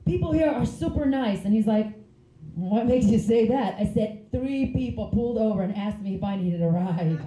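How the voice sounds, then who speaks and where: A woman's voice: medium pitch, animated, normal speed, dark tone, echoey room, low-quality recording. A woman, doing a rambling, giggly stand-up comedy set on a club stage. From Finland. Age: 30s. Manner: chatty, giggly, rambling asides.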